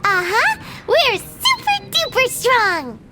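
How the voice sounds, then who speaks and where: A woman voicing a tiny, excitable fairy companion girl in a game, chirpy and exclamatory. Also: extremely high pitch, slow pace, exaggerated voice. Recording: game audio with music underneath.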